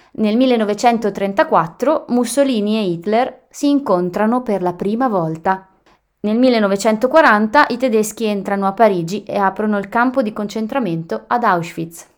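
A woman presenting on the radio with a fairly high-pitched voice. She reads scripted narration, going through dates and anniversaries one after another.

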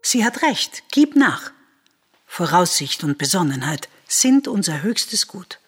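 An actress playing a sober chorus woman in a Greek tragedy for radio, speaking in a grave, formal, deliberate way. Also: fairly low pitch, very animated, husky, no echo, clean studio sound, heavily acted. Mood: grave, counselling. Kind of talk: solemn classical radio drama acting.

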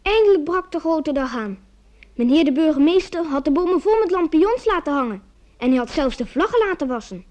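A woman's voice: high-pitched, animated, a little fast, darkish tone, slight background noise. A woman playing an eager village child who naively tells a story in a radio play. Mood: excited storytelling. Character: eager village child telling mother about the lion fair.

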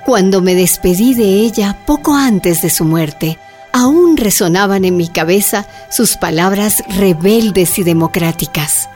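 A woman's voice: mid-range voice, expressive, measured pace, natural voice. An actress playing a reflective woman narrator who remembers the dead in a radio drama. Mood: solemn. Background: music.

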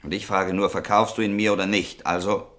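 Ein Schauspieler spielt in einem deutschen Hörspiel einen nervösen, verlassenen Mann, der ungeduldig und kurz angebunden eine Waffe kaufen will. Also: male voice, sits low, little pitch movement, brisk, fairly bright tone. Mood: impatient. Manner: curt, evasive, irritable. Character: tense, jilted man impatient to buy a revolver. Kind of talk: German radio play acting.

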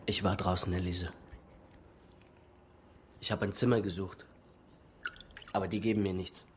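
An actor playing a desperate, jobless man in a radio drama, with a husky, raspy voice. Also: deep voice, fairly even intonation, measured pace, a little hiss or hum. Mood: urgent, pleading. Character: desperate, jobless father pleading for help.

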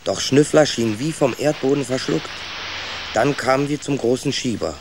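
An actor playing a plucky, loyal rat who leads and narrates in a children's radio play. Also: male voice, medium pitch, normal speed, noisy background, lightly acted. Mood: suspenseful narrating. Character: bossy, plucky male young rat, loyal older brother.

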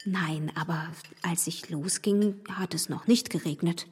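A courteous young gentlewoman with a quiet sadness. The voice is medium in pitch and quite breathy, held back and earnest. It sounds like a radio play in a reverberant hall. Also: fairly even intonation, unhurried. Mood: polite, modest. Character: reserved, polite young gentlewoman with quiet melancholy. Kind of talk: literary radio play acting.

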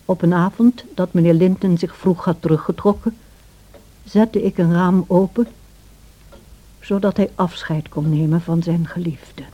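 A woman playing a sorrowful, gentle servant who narrates past events in a radio play in a measured way. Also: lowish voice, steady tone. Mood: measured, sombre. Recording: dull old radio play recording.

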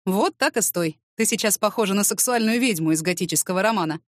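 A woman's voice: medium pitch, quick pace, no echo, clean studio sound, close to an everyday voice. A woman voicing a confident, cheeky male boss in an audiobook, with a teasing, seductive manner.

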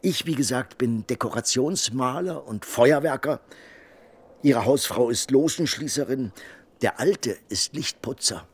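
An actor playing an obliging, talkative scene painter in a German radio drama, courteous and confiding, with a husky voice. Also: male voice, mid-range voice, expressive, unhurried. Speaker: supporting actor.

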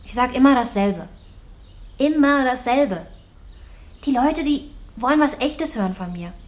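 A woman's voice: high voice, expressive, a little slow. An actress playing an earnest, fed-up woman in a dramatic radio drama monologue. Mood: fed-up, emphatic. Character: fed-up, earnest woman declaring her wish for authenticity.

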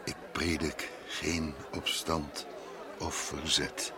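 An actor playing a calm, self-assured wandering prophet in a radio drama, gravelly, measured and courteous with gentle irony. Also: male voice, rumbling low, full character voice. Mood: calm, firm. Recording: noisy radio play amid loud crowd.

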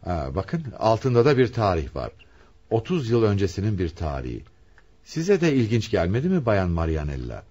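Shrewd police commissioner, swooping intonation. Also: male voice, fairly low pitch, huge pitch swings, mellow, husky, highs cut off, exaggerated voice. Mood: probing, intrigued. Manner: probing, deliberate, theatrically inquisitive. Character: shrewd, probing male police commissioner questioning suspects. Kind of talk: radio theatre acting.

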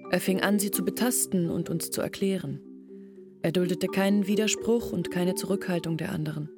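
A woman's voice: on the low side, a little fast, close to an everyday voice. An actress in a radio play playing a village woman who recounts her family's downfall. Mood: wistful recollection. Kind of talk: radio play monologue, storytelling. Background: music.

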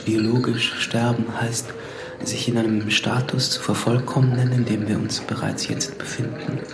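An actor playing a bleak, coolly certain philosopher, speaking in measured aphorisms in a literary radio drama. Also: male voice, medium pitch, level tone, background noise, lightly acted. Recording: echoey voice under loud music.

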